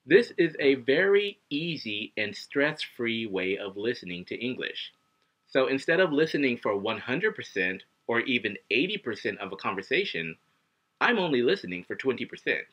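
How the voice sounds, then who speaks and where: A man in his thirties teaching English to camera at home, animated and acting out little scenes as he explains. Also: medium pitch, normal speed, breathy edge. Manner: animated, acts out students and himself, gestures a lot. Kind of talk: casual video lesson to camera. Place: living room at home, indoors. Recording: dull lo-fi webcam audio.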